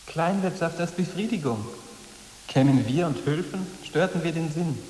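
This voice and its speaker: A man's voice: on the high side, animated, moderate pace, a little mellow, slight background noise, close to an everyday voice. A man playing a defiant, aloof teenage boarding school boy, terse and matter-of-fact, in a radio drama. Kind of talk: German literary radio drama. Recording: long hall reverb.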